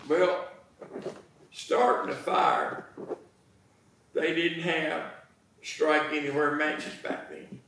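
An American man in his sixties preaching a sermon in a folksy, deliberate manner, pausing between phrases. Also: on the high side, little pitch movement, a bit bright, slight background noise. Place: church sanctuary, indoors. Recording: very echoey church, distant microphone.

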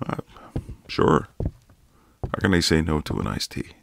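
A man playing a flirtatious, easygoing retired marine neighbour in an intimate audio roleplay, with a deep, gravelly voice and a casual drawl. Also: very animated, takes it slowly, fairly bright tone, slight background noise, full character voice. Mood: warm, flirtatious.

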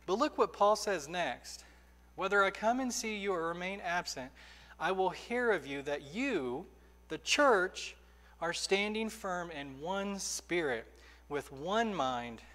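A man in his thirties preaching a sermon from a church pulpit, earnest and emphatic, slowing down to stress key phrases. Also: high-pitched, a bit bright. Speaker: pastor. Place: church sanctuary, indoors. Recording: reverberant church hall.

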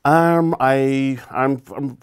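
A man in his forties with a New Zealand accent, a political candidate talking thoughtfully in a relaxed studio interview and often restarting his phrases. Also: medium pitch, very slow, clean tone. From Cook Islands heritage, raised in New Zealand. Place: small indoor studio set.

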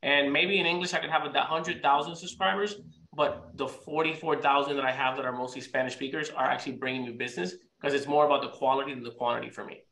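A man in his thirties, a real estate agent, commenting energetically on a video call, talking fast and correcting himself. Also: mid-range voice, a little hiss or hum. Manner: energetic, rapid, self-correcting. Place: small room with foam panels and whiteboard. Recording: echoey small room.

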